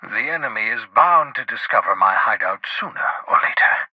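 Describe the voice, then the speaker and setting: Eccentric older renegade scientist, husky, very dry close sound, theatrical, verbose, sardonic, video game character. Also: male voice, medium pitch, expressive, moderate pace, no echo, muffled recording. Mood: sardonic, scheming.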